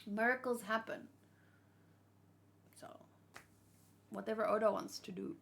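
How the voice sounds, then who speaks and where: A woman's voice: mid-range voice, steady tone, measured pace, vocal fry. A woman chatting casually and unscripted, thinking aloud and asking questions as she hosts a reaction video. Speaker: manga reaction host.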